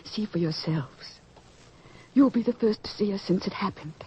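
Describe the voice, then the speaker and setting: A woman's voice: mid-range voice, normal speed, darkish tone, lightly acted. An actress playing an overwrought mother in an old-fashioned radio drama, with theatrical, emotional delivery. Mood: overwhelmed. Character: overwrought mother of a sick young girl. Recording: dull, noisy lo-fi radio recording.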